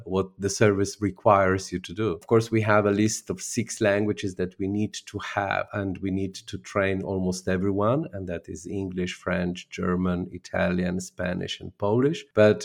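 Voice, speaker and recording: A middle-aged man from Slovenia with a Slovene accent, answering interview questions with frequent fillers and restarts. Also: fairly low pitch. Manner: hesitant, many fillers and restarts. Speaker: interview guest, translation director. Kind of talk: interview conversation.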